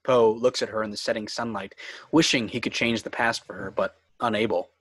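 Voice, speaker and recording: A man's voice: lowish voice, fairly even intonation, fairly quick, fairly bright tone, breathy edge, dry recording. Man narrating a screenplay, dry close sound. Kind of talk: scripted narration, reading screenplay stage directions.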